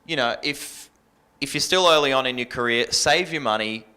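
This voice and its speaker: A man's voice: mid-range voice, normal speed, bright, forceful tone, clear, resonant tone. An Australian man speaking casually and bluntly as a radio industry panelist, in colloquial language.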